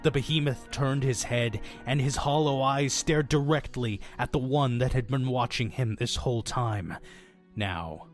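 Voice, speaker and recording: A male actor plays a wry innkeeper from a horror audio drama, with a sinister streak. He tells his tales theatrically, lingering on pauses and slipping into sardonic asides. Music plays softly underneath. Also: medium pitch, natural voice. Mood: grim, suspenseful. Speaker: storytelling narrator in character.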